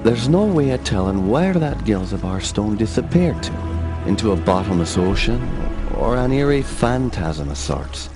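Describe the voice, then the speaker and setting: A grave game hero with a deep voice. He speaks with brooding, earnest intensity, his tone moving widely as he dwells on betrayal. Music plays clearly underneath. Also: sing-song, normal speed. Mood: brooding, reflective. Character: grave, embittered male adventurer hero, betrayed by a friend. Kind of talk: dramatic video game acting and narration.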